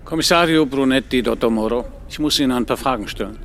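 An actor playing a discreet, persistent police commissario in a German radio drama, probing and understated. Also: male voice, medium pitch, fast, a bit bright, lightly acted. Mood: insistent.

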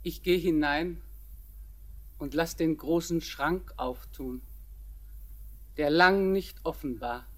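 An older actress playing a kindly old grandmother who speaks in a measured, deliberate way. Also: low-pitched.

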